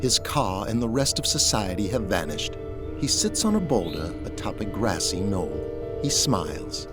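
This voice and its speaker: Narrator for audio drama: man with a lowish voice, scripted present-tense storytelling, clear music underneath. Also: animated, unhurried.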